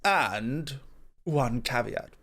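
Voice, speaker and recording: A man voicing a calm, reasoning male god in an ASMR roleplay, measured and explanatory with gentle amusement. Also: medium pitch, full character voice. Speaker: guest voice actor.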